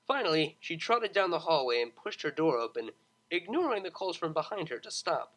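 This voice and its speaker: Bright, animated story narrator. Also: medium pitch, fairly quick, poor audio. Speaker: fan fiction audiobook narrator. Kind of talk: expressive scripted story narration.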